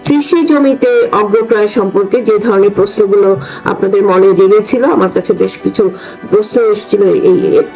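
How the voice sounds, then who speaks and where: Halting older woman explaining law. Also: medium pitch, mellow, soft background music, poor audio. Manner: halting, pausing to search for words. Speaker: host, retired judge explaining law. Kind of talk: informal legal discussion for an audience.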